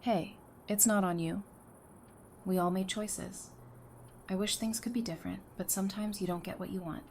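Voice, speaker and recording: An affectionate young mother in a survivor audio drama, acted by a woman, casual and heartfelt with a teasing streak. Her voice is mid-pitched over faint noise. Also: steady tone, moderate pace, a little mellow, natural voice.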